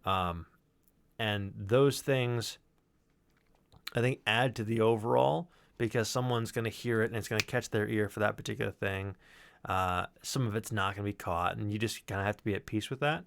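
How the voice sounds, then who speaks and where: A man's voice: fairly low pitch, moderate pace, creaky, a bit bright, no echo. A man giving a casual, rambling walkthrough as a sound designer, with some swearing. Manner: rambling, explanatory, profane. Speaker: sound designer presenting his work.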